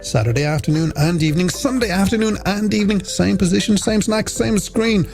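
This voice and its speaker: A man's voice: high-pitched, fairly quick, gravelly, darkish tone. A man presenting a late-night music radio show in chatty, playful, scripted patter.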